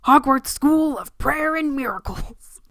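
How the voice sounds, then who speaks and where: A woman's voice: sits high, lively intonation, unhurried, no echo, voice pushed up. Storybook big friendly preacher man played by a woman, earnest, kindly, pious, very dry sound. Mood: proud, pious.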